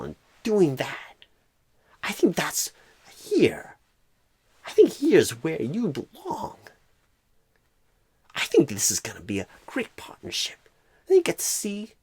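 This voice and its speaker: A man voicing a clueless, bumbling job recruiter in an ASMR roleplay, earnest and scatterbrained, with halting, oddly stressed phrasing and a husky, breathy voice. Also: sits high, a little mellow, no room sound, full character voice. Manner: halting, oddly stressed phrases in a Christopher Walken-style impression.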